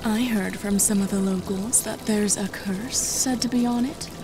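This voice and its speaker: Actress as coolly condescending fantasy warrior woman, mid-pitched, teasing, faint music underneath. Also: fairly even intonation, normal speed, natural voice. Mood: curious. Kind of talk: dramatised fantasy audiobook acting.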